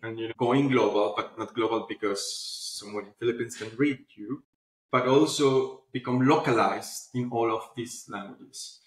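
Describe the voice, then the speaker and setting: A man's voice: medium pitch, fairly even intonation, normal speed. A man speaking as an interview guest, explaining casually and often checking that the listener follows. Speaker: podcast guest, company founder. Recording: roomy, echoing sound.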